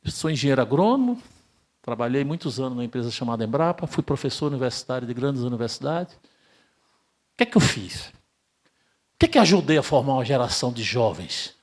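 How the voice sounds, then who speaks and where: An older man from Brazil giving an emphatic spiritist lecture, asking rhetorical questions and swinging between loud and hushed delivery. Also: mid-range voice, sing-song, a little fast. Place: spiritist center hall, live audience.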